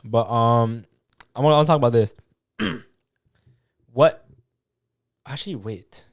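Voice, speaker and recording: Young guy, mid-pitched, upbeat podcast host. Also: unhurried, no room sound, studio quality. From Canada. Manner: energetic storyteller, swears, says "dude" and "bro". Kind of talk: casual chat.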